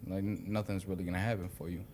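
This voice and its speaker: Man, very low voice, thoughtful, repeats himself, light background noise. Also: flat delivery, rapid-fire, a little mellow. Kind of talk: casual chat.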